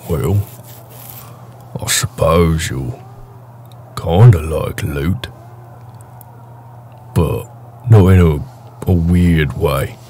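A man playing a big, gruff, protective orc raider with a wry, casual manner in a fantasy roleplay audio drama. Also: sits low, a little mellow, a little hiss or hum, full character voice. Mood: wry, reassuring. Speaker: voice actor.

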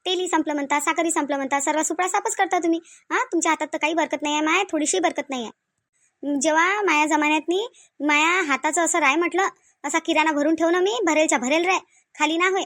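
Woman playing a shrill, scolding Varhadi mother-in-law. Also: fairly even intonation, quick pace, fairly bright tone, no echo. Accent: Varhadi Marathi from Vidarbha. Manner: nagging, sarcastic, full of rhetorical questions. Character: domineering, miserly, scolding rural mother-in-law. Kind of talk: cartoon comedy dialogue.

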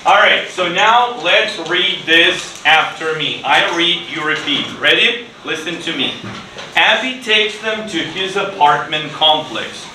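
A middle-aged man teaches a children's class in a roomy, noisy classroom, heard from some distance. His voice is on the higher side, and he uses short, simple phrases and questions to involve the pupils. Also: lively intonation, sharp tone. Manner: slow, deliberate, simple phrasing with repeated questions. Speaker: ESL teacher. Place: school classroom, indoors. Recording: roomy, distant classroom recording with clear noise.

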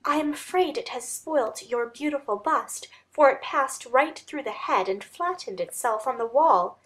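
A woman acting a respectful housekeeper in an audiobook. She speaks earnestly and dutifully, with a fairly high voice. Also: expressive, unhurried, a bit bright. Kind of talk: dramatic audiobook reading.

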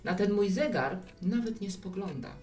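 A woman's voice: mid-range voice, lively intonation, moderate pace, darkish tone, natural voice. A woman playing a hospitable, reflective bar owner in a radio play, coaxing and musing as she talks. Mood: reflective, playful. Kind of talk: Polish radio play dialogue. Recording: roomy, distant mic with music.

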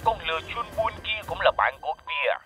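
A man voicing an eager, scheming villain's henchman in a cartoon dub, animated and conspiratorial. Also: high voice, brisk, over music.

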